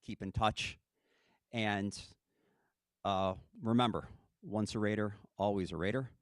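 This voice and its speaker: A man's voice: on the low side, fairly even intonation, a little slow, no echo, studio quality. A man, the head coach, giving an earnest speech to his team at a ring ceremony, often checking in with "okay?".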